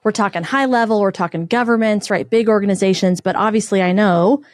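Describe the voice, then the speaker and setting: A woman's voice: mid-range voice, brisk, dry recording. Woman co-hosting in a dry-sounding space. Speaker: podcast co-host, interviewer. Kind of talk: casual interview chat.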